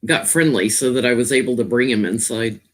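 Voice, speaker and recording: A man with a naturally loud voice chats on a home live stream as a tarot reader. He talks easily and rambles, laughing often, and sits a little back from the laptop that picks him up. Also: mid-range voice. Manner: chatty and rambling, quick to laugh. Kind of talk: casual live stream chat. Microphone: laptop, sitting back from it.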